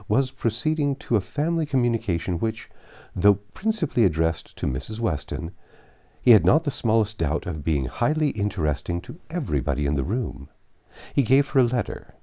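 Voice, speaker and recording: A man narrating a classic novel aloud in an expressive, measured storytelling manner. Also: lowish voice. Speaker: audiobook narrator. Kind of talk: expressive scripted literary narration.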